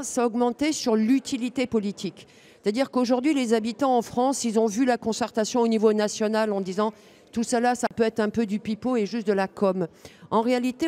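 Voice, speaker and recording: A woman's voice: on the high side, a little mellow. A woman speaking as an expert consultant in a panel discussion, explaining in structured, repeated points. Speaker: public participation consultant.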